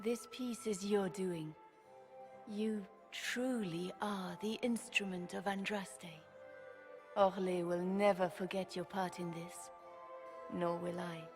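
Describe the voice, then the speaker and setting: Stately empress in a reverberant hall. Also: female voice, mid-range voice, steady tone. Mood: grateful. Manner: stately, formal, measured. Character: regal, composed empress addressing her court. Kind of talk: formal dramatic game acting. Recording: long hall reverb over music.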